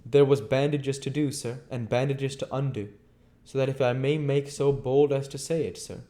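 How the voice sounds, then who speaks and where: A man's voice: medium pitch, fairly even intonation, moderate pace, dark tone, voice pushed up, close to an everyday voice. A man voicing a chatty, deferential middle-aged country landlady who rambles in folksy phrasing for a storybook reading. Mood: earnest, rambling.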